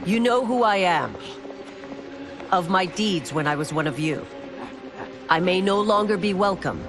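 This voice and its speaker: A woman's voice: lowish voice, lively intonation, a little slow. An actress playing a stern, honourable warrior woman in a video game, solemn, resolute and formal, with dramatic delivery. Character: stern, honourable woman warrior, former royal guard turned constable. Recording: music behind.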